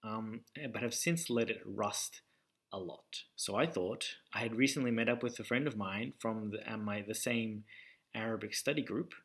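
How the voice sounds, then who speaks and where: A young man casually talking to his channel about learning languages, pausing often with ums and uhs as he thinks aloud. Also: lowish voice, a bit bright. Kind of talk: casual talking-head vlog.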